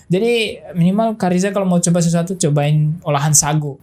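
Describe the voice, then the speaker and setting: A man's voice: fairly high pitch, a little fast, mellow. A man talking as a guest chef in a casual chat, hesitant, with frequent fillers and restarts.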